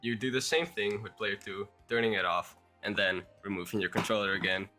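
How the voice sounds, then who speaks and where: A man's voice: fairly low pitch, fairly quick, sharp tone, faint music behind. A young man with a Dutch accent, a bit sick, talking through a game tutorial in a rambling, hesitant way. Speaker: YouTuber.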